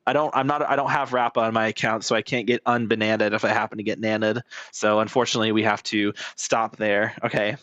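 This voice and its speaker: A man's voice: fairly low pitch, flat delivery, fast talker, hardly any pauses, fairly bright tone, dry recording, muffled recording. A man giving casual, joking, playful gameplay commentary with swearing and mockery, like a streamer. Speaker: game streamer.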